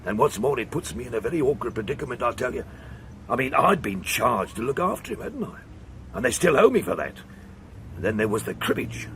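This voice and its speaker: An actor playing a rough, self-serving sea captain in a radio drama, with a working-class English accent and colloquial, grumbling speech. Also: male voice, medium pitch, big pitch swings, a little fast, a bit bright, a little hiss or hum. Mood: aggrieved. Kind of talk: radio drama character acting.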